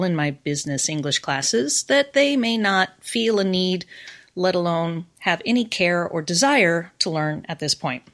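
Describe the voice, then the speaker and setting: A middle-aged woman with an American accent speaks as a university professor on a podcast. Her voice is a little low for a woman, and she explains things in a friendly, relaxed way. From United States. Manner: friendly, laughs often while explaining. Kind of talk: casual podcast conversation.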